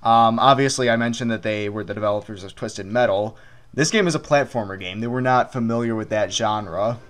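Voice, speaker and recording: A man's voice: fairly low pitch, fairly quick. A man chatting casually through a let's play with friends, swearing freely and joking as he goes. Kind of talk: casual gaming commentary with friends.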